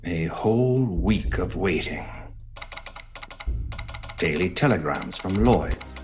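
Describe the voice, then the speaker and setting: An actor playing an earnest Victorian gentleman who narrates reports of a vampire hunt in a radio drama, measured and formal. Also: low voice, expressive. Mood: weary. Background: soft music.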